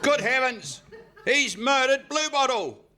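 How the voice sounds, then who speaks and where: A man acts out a pompous police inspector with a bright, forceful voice and wildly swooping pitch. He blusters theatrically in old radio comedy style. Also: sing-song, slow, some chatter behind, slight background noise, exaggerated voice. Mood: indignant, alarmed. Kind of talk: parody of 1950s radio comedy acting. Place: live on stage in a hall.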